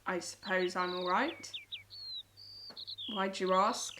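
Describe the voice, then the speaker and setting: Actress playing a lonely young land girl in a wartime radio play, slow and guarded, bit of noise and birds. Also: mid-range voice, sing-song. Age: young adult. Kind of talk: audio drama acting. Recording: noisy recording with birdsong.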